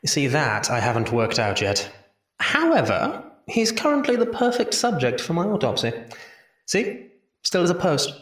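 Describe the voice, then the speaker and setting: A lively man's voice, set back from the mic in a roomy space, playing a Victorian hospital doctor. He is genial and playful, with courteous, slightly formal phrasing in audio drama style. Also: a little high, normal speed, distant mic. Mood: keen, explanatory. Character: male Victorian hospital doctor, genial, teasing, enthusiastic anatomist.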